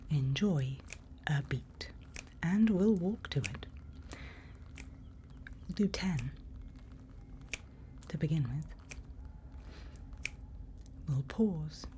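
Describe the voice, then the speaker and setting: A woman playing a calm, reassuring monster-hunting guide in a whispered ASMR roleplay, speaking in a hushed, patient, breathy way. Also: deep voice, a little hiss or hum. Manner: hushed, patient, instructive, soothing.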